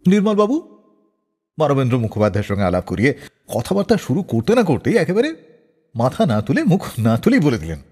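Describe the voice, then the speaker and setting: A man's voice: medium pitch, huge pitch swings, fairly quick, a little mellow, husky, long reverb tail. A man hosting a radio show, narrating scripted stories in a dramatic storyteller's way. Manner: dramatic storyteller, acts out quoted dialogue.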